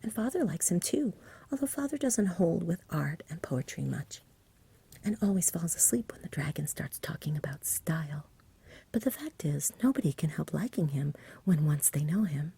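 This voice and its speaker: An earnest village boy, as whispered by a woman reading a storybook, pleading and a little impatient. The voice is very breathy and dark, made for ASMR. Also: low-pitched, animated, moderate pace, exaggerated voice. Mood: distressed, pleading. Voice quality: soft, whispery. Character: earnest, impatient young village boy defending his friend.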